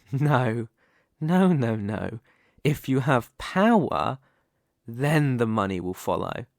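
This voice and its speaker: Casting: ambitious young mafia boss played by a man, mid-pitched, theatrical and possessive, measured pace, for an ASMR audio drama. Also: fairly bright tone, dry recording, clean studio sound. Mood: condescending. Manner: theatrical, deliberate, condescending, with pointed pauses. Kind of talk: ASMR roleplay, dramatic monologue to the listener.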